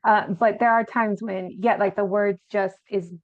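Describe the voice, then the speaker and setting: A woman's voice: mid-range voice, fairly even intonation, normal speed, no room sound, phone-quality sound. A woman author from Arizona, a podcast guest who speaks thoughtfully and hesitantly with many fillers. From Arizona, USA. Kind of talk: casual interview chat.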